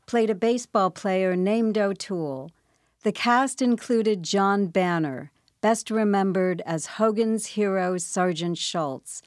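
Measured woman narrator, dry sound. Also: medium pitch, no echo. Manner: measured, storytelling. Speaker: host and narrator. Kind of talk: scripted narration introducing a radio play.

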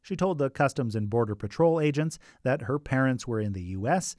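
A man's voice: medium pitch, expressive, fairly quick, darkish tone, no echo. Dry, conversational male radio narrator. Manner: conversational with wry asides. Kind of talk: scripted documentary narration.

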